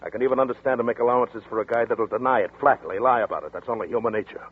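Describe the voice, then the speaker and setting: An actor playing a tough, contemptuous detective with a husky, clipped delivery in a 1940s radio drama. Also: male voice, mid-range voice, very fast, natural voice. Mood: angry, contemptuous. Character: hard-nosed tough detective brother-in-law. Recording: tinny lo-fi 1940s radio broadcast.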